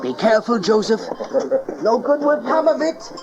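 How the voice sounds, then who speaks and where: An actor playing an anxious, apologetic, cautious villager in a Bible audio drama, expressive and fretful. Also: male voice, extremely high pitch, moderate pace, lo-fi sound, crowd noise. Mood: worried.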